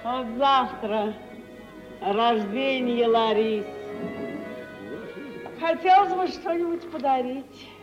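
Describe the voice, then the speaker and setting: A woman's voice: sits high, slow, long pauses, music in the background. An actress playing a practical, scheming middle-aged mother, ingratiating and ladylike, in old radio theatre style. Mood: hinting, wheedling. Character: practical, scheming mother marrying off her daughter. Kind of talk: 1950s radio theatre acting. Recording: muffled old radio recording, echoey hall.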